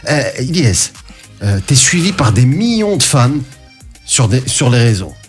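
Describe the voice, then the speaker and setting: A man with a Belgian French accent hosting a radio show in a studio. His voice sits at a mid pitch, teasing and hesitant, full of restarts. Faint music plays underneath. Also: big pitch swings, measured pace. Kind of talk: interview hosting.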